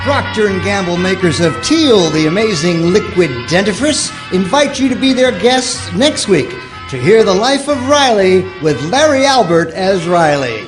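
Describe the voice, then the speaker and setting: A man performs a polished old-time radio announcer, pitched high with showy, swinging intonation. Music plays clearly behind the scripted pitch. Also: lively intonation, normal speed, few pauses, poor audio. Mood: formal sign-off. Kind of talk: 1940s radio advertising and sign-off. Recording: prominent music under the voice.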